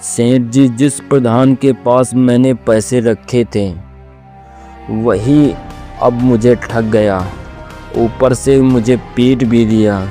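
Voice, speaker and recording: A man's voice: mid-range voice, steady tone, takes it slowly, dark tone, faint music behind, close to an everyday voice. A man playing a wronged, humble servant in a cartoon moral story, speaking in a deferential, plaintive way. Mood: aggrieved.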